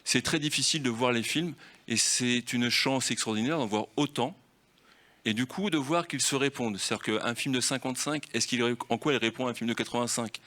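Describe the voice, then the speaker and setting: A man's voice: mid-range voice, brisk, pushed and bright. A man leading a festival round table on film, talking freely and explaining through rhetorical questions.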